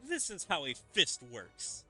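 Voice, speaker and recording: A man voicing a brash, hot-headed game fighter who taunts in a cocky shout. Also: a little high, huge pitch swings, a little slow. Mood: aggressive taunting. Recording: game music behind the voice.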